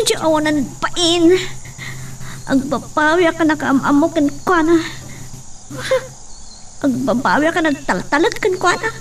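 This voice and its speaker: Actress as a worried young daughter, high voice, anxious, radio drama. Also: female voice. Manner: anxious, tearful. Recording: distant mic with faint noise.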